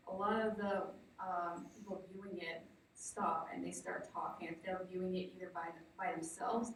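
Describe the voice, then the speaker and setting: Woman, conference presenter, slightly low pitch, halting explanatory delivery, heavily echoing room, distant mic. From Navajo Nation, southwestern United States. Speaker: project researcher presenting. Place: large echoey indoor presentation room. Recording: very echoey room, distant mic, faint noise.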